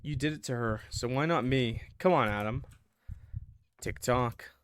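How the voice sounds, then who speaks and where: A man's voice: medium pitch, a little slow, lightly acted. A man playing a defiant captive in a visual novel, taunting an attacker with sarcasm. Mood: taunting challenge.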